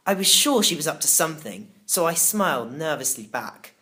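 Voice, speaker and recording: A man narrating a children's novel in the first person, expressive and theatrical. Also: fairly high pitch, moderate pace. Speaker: audiobook narrator.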